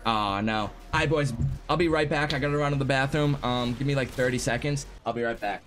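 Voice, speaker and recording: A young man in his twenties live-streaming a game from his home setup, chatting excitably with viewers, swearing freely and going hoarse. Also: mid-range voice, brisk, a little hiss or hum. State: losing his voice. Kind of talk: live gaming stream chat. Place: home gaming room.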